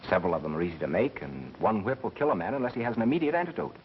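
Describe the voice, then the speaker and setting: An actor playing a calm, matter-of-fact radio engineer turned amateur detective in a 1930s mystery film. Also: male voice, fairly low pitch, rapid-fire, a little hiss or hum, natural voice. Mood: assured, explaining. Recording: muffled 1930s film soundtrack with music.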